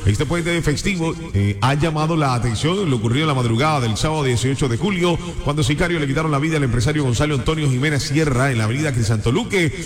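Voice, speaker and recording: A man's voice: a little high, roomy sound. A man hosting a morning radio show, chatting and reading the news with emphasis. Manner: lively banter, then steady emphatic news reading. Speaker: radio host and newsreader. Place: radio studio.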